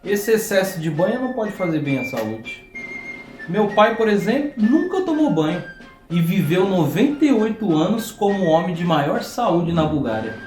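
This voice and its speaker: A man's voice: high-pitched, big pitch swings, moderate pace, over music. An actor with a Brazilian accent playing a pompous, boastful older Bulgarian major and father who talks loftily and dismissively. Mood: haughty. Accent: Brazilian Portuguese. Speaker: acting student. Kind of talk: mock-documentary stage acting. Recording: echoey room.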